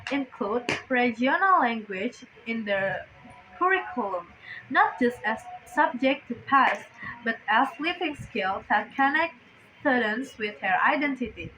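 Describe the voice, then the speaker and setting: A woman's voice: on the high side, animated, a little slow, sharp tone, a little hiss or hum, poor audio. A teenage girl from Indonesia with an Indonesian accent, delivering an earnest, prepared persuasive speech as a student speaker. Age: teens.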